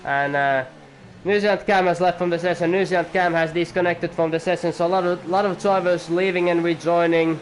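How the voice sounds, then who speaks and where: Young male league commentator, rambly and jokey, slightly high-pitched. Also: fairly even intonation, a little fast, hardly any pauses, a little hiss or hum, poor audio. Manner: rambling, chatty, jokey asides to viewers. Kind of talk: live sim-racing commentary.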